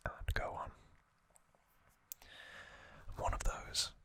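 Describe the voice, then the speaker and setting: A man hosting ASMR in a breathy whisper, gently recapping trivia in short, halting phrases. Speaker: ASMR host.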